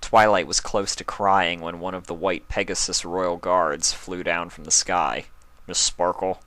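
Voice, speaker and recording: A man reading fan fiction as narrator, in a deliberate storytelling manner. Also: low voice, fairly even intonation, bright, forceful tone.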